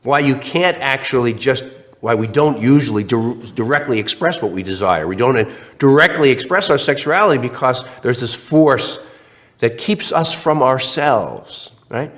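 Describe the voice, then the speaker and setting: Lively lecturing man with a congested cold. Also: medium pitch, normal speed. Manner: animated, acts out questions in other voices; many restarts and fillers. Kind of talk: recorded online lecture.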